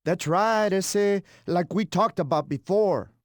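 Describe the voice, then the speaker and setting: An actor playing a cocky, sly wrestler in a game cutscene, with a swaggering, slangy way of talking. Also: male voice, high-pitched, takes it slowly, dry recording, clean studio sound. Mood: sly, friendly. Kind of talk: video game cutscene acting.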